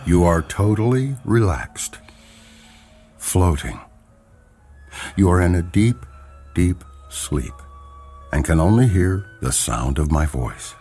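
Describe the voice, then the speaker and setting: An actor playing a hypnotist doctor in a radio drama, formal and measured, soothing with patients yet protective of his dignity. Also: low-pitched, big pitch swings, warm tone, faint music behind, heavily acted. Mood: soothing, hypnotic.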